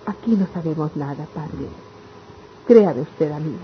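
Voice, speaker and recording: A woman's voice: low voice, lively intonation, a little slow. An actress playing an embittered, weary country mother in an old-fashioned radio serial, with plaintive delivery. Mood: aggrieved, doubtful. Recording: dull lo-fi radio serial with noise.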